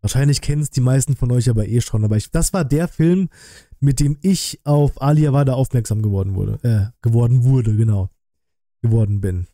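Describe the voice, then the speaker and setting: Guy in his 30s, streamer chatting casually, really mellow dark tone, dry room close mic. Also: medium pitch, brisk, no echo. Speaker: streamer hosting a reaction stream. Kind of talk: casual livestream chat. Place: small indoor home streaming room. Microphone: close desk microphone on an arm.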